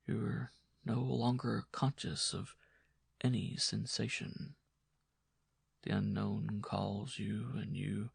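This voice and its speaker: A man's voice: on the low side, little pitch movement, takes it slowly, vocal fry, long pauses, slightly rough, no echo, clean studio sound, heavily acted. A man playing a brooding, morbidly fascinated narrator in a horror audiobook monologue, intense and musing. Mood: entranced. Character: brooding man fascinated by his own suicide. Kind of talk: dramatic first-person horror monologue.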